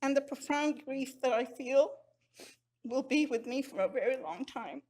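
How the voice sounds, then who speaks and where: Husky-voiced grieving woman. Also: sits high, normal speed, a little mellow. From Sri Lanka. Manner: grieving, heartfelt. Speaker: eulogy speaker. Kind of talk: memorial eulogy.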